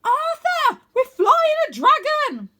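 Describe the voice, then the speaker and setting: A woman voicing a reckless, excitable young boy in a storybook, eager and boisterous. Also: very high-pitched, takes it slowly, voice pushed up, heavily acted. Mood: thrilled.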